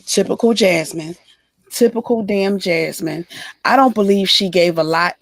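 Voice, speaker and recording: A woman co-hosting a livestream who is hoarse and losing her voice, explaining her views in a measured way during casual gossip chat. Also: mid-range voice, no echo. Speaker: livestream co-host.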